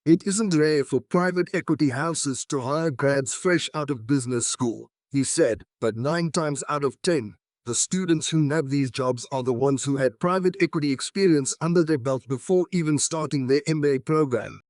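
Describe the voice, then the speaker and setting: A man's voice: a little high, normal speed, hardly any pauses, a little mellow, dry recording, studio quality. A man reading scripted passages aloud as exam practice.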